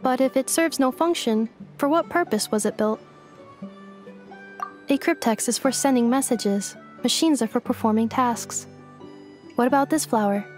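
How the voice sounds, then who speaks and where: Game role: woman as literal, courteous female android, stilted and emotionless, slightly high register, music underneath. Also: normal speed, long pauses, natural voice. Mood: flat, inquisitive. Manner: stilted, formal, emotionless robotic phrasing. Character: polite literal-minded female robot relearning how to converse. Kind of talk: scripted video game dialogue.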